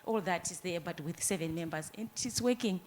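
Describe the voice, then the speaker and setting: A woman's voice: lowish voice, brisk, a crowd in the background. A woman from Zimbabwe commenting from the floor at a conference into a handheld microphone, speaking earnestly and explaining at length with frequent restarts. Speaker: audience participant. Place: conference room.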